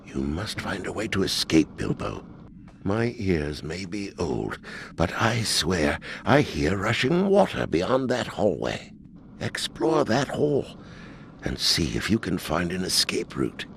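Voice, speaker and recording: A man voicing a gruff, weary old dwarf leader with a gravelly voice in a video game. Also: low-pitched, wildly swooping pitch, slow, noisy background, heavily acted. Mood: instructing. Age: older. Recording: game music behind the voice.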